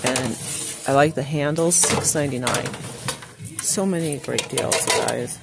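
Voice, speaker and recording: A woman's voice: rumbling low, unhurried. A woman narrating a casual shop-with-me video, chatting to viewers and reading out prices as she browses a store. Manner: chatty, reads out prices, addresses viewers as you guys. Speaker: shopping vlogger. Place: large retail store, indoors. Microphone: phone held while filming, speaker off camera. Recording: phone audio with clear store noise.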